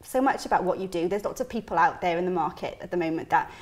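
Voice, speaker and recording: A Welsh woman, a career mentor being interviewed, talking in a chatty, energetic way with emphatic repetitions. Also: fairly low pitch, fast talker. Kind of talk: casual interview chat. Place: TV living room studio.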